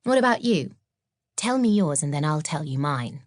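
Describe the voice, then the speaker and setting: A woman's voice: low voice, sing-song, normal speed, no room sound, clean studio sound. A woman voicing an earnest, accident-prone young schoolgirl witch who confides sheepishly, in a children's audiobook. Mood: shy. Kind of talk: children's audiobook character acting.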